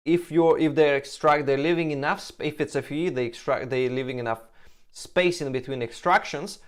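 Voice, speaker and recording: Lithuanian-accented man, halting casual talk. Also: a little high, clear, resonant tone. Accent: Lithuanian-Russian. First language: Russian. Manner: halting, restarts sentences, frequent "like" and "you know". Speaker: hair transplant Q&A host. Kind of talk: casual Q&A answering viewer questions.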